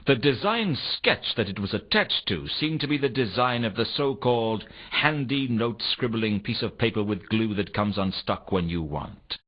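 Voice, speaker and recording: An actor playing a world-weary, wry noir detective, narrating a game in a deadpan, sardonic way. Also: male voice, on the low side. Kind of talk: scripted first-person noir game narration. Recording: dull lo-fi game audio.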